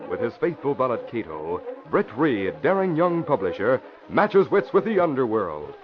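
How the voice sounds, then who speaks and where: A man's voice: mid-range voice, huge pitch swings, normal speed, music in the background. A man announcing and narrating a 1940s radio drama with a dramatic, emphatic delivery. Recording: dull hissy old radio recording.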